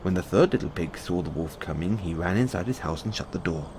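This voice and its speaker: Male storyteller: low voice, expressive swings in pitch, measured bedtime fairy tale reading, noisy background.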